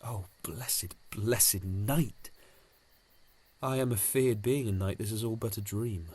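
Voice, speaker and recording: A man's voice: on the low side, takes it slowly, a little mellow, a little hiss or hum. A man acting an ardent young romantic lover in a Shakespearean audio drama, with theatrical verse delivery, recorded at home on a phone. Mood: dreamy, tender.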